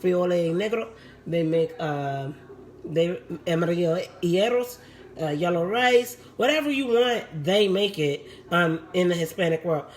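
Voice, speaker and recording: A woman with a raspy voice, hosting a casual live cooking demo from her home kitchen and chatting with her viewers as she works. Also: lowish voice, animated, measured pace, darkish tone. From Puerto Rico.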